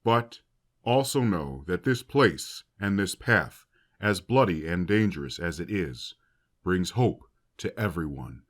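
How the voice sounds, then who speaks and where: A man acting as a grave, caring academy headmaster in an audio drama. His voice is low and moves widely in pitch as he orates with solemn formality. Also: expressive, takes it slowly, heavily acted. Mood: solemn warning. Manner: solemn, oratorical.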